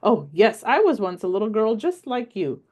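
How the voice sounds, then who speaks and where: A woman voicing a wise, patient old grandmother in a read-aloud story, gently telling her granddaughter about her life. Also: mid-range voice, very animated, moderate pace, voice pushed up. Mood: fond.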